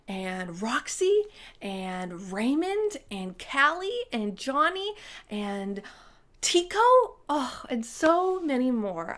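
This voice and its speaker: A woman hosting a children's story time, reading a storybook and addressing young listeners directly with exclamations. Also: mid-range voice.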